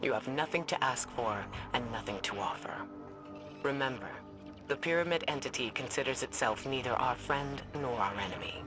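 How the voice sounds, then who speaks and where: An actress playing a composed, scholarly female spymaster in a video game, speaking in a measured, deliberate, grave way. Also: rumbling low. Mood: grave, explanatory. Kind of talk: scripted video game dialogue. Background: background music.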